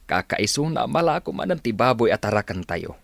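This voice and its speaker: A man's voice: medium pitch, very animated, fairly quick, gravelly, no room sound. A man with an Ilocano accent playing an affectionate, hardworking village pig farmer and father in a radio drama, on a noisy recording. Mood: tender.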